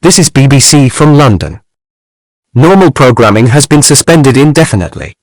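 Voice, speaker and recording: A man's voice: mid-range voice, sing-song, normal speed, no room sound. A man reading a formal scripted emergency warning announcement.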